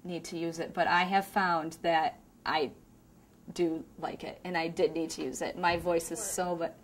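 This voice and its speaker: Low-voiced woman teacher, faint room noise. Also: level tone, a little slow. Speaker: classroom teacher. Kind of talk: documentary interview.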